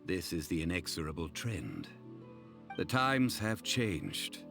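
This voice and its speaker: A deep-voiced, scholarly gentleman from a video game. He is calm and formal, choosing words with stately care. His intonation moves widely, with music clearly behind him. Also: slow pace, exaggerated voice. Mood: solemn. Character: calm, learned, formal male funeral parlor consultant. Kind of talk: scripted video game dialogue.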